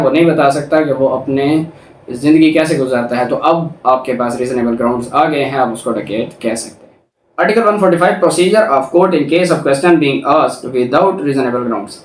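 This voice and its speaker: A Pakistani man giving a didactic law lecture, reading formal text and explaining it by rephrasing and repeating. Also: fairly high pitch. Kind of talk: lecture, reading statutes in English and explaining them in Urdu. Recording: roomy echo, distant from the mic.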